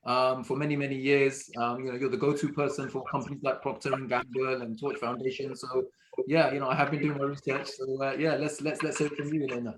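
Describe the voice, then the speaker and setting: A man's voice: medium pitch, level tone, a little fast, background noise. A man hosting an online interview, talking with many fillers and restarts over a video call. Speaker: host.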